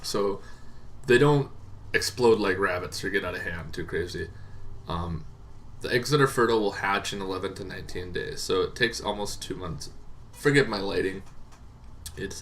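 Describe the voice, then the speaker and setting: A man hosting a tabletop roleplaying show, explaining casually and off the cuff in a rambling, halting way that repeats words. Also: sits low, fairly bright tone, slight background noise. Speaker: host of a tabletop roleplaying monster lore show. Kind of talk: casual unscripted explainer to camera.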